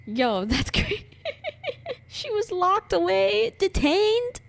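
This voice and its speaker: A woman's voice: extremely high pitch, big pitch swings, slow, gravelly, background noise. A young woman doing casual, joking live commentary as a game streamer, reacting with sarcasm.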